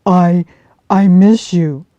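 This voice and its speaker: A woman voicing a big brown male bear, speaking loud and slow in a children's storybook reading. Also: on the low side, steady tone, no echo, dull audio. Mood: gentle.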